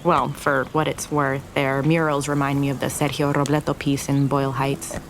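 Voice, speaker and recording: A woman's voice: extremely low pitch, level tone, moderate pace, noisy background. An actress playing a wry, conciliatory woman in a naturalistic audio drama. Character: wry, conciliatory Mexican American woman seeking a truce.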